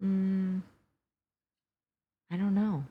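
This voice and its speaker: A woman whose first language is Albanian, co-hosting a podcast and reading listener questions in a relaxed, hesitant way with many fillers. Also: medium pitch, very slow, narrow, tinny audio. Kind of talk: casual chat.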